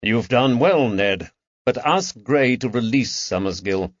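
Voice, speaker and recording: A man voicing a calm, perceptive Victorian detective with a gentle, measured way of talking, in a dull, dry recording. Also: medium pitch, no room sound. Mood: thoughtful.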